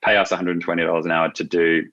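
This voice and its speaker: Low, bright-voiced man explaining informally. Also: little pitch movement, fast talker, lo-fi sound. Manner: informal, wordy explaining with frequent "like" and "you know". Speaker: business founder, podcast guest. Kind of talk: conversational interview answers, explaining.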